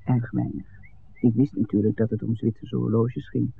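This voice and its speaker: Man acting candid young villager, matter-of-fact, creaky, thin band-limited old radio sound. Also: medium pitch, level tone, moderate pace, close to an everyday voice. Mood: candid, wry. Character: frank young villager, child of a smuggling father. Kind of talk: 1960s radio play acting. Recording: tinny old radio recording with noise.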